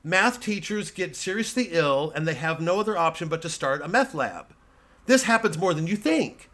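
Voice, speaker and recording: A man's voice: a little high, animated, normal speed, fairly bright tone, voice pushed up. A man acting as an indignant TV fan in a comedy bit, insisting earnestly and emphatically in defence of a show.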